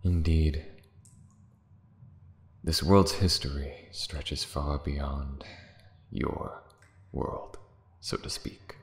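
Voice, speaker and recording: A man playing a proud, bitter, ancient dragon in a fantasy ASMR roleplay, brooding and scornful, theatrical, dipping into hushed breathy tones. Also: extremely low pitch, steady tone, drawn-out words, pauses often, exaggerated voice. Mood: proud, wistful. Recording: roomy echo, faint background noise.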